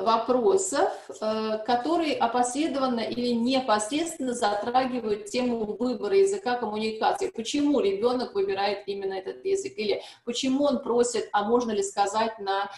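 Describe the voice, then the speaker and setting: A woman speaks at a seminar in a fairly high voice, explaining carefully and often pausing on hesitant fillers. The room around her is echoey, like a conference hall. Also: expressive, a little mellow. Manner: frequent hesitations and restarts, explanatory. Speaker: seminar speaker on language testing. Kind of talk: academic presentation.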